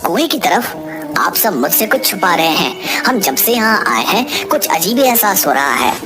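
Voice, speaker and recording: A woman's voice: mid-range voice, sing-song, moderate pace, close to an everyday voice. A woman voicing a skeptical grown son. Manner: skeptical, then indignant. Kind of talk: animated storybook voice acting.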